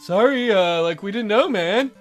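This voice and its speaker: Man doing an exasperated, high-voiced captive dad. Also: expressive, normal speed, soft background music. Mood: apologetic pleading. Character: exasperated, panicky rich father held hostage.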